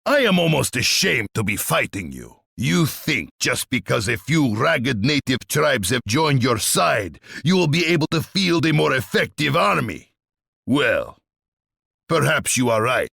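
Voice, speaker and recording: Casting: bloodthirsty warlord tsar for a strategy game; husky, pompous and sneering, with wildly swinging pitch. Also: male voice, sing-song, measured pace, pushed and bright, no echo, clean studio sound, full character voice. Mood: scornful. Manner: pompous, menacing, declamatory.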